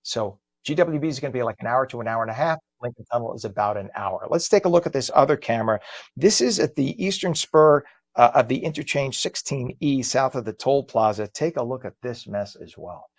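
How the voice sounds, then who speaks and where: A man hosts a live morning show, chatting his way through traffic updates with easy fillers. His voice is mid-pitched and recorded dry, with little room sound. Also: expressive, fairly quick, no room sound. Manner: chatty and conversational, frequent fillers. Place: home studio.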